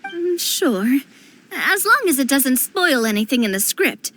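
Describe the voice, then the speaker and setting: A young woman playing a cheerful, talkative young noblewoman, chatty and quick to react, in game dialogue. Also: high-pitched, sing-song, fairly bright tone, slight background noise, heavily acted. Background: game music.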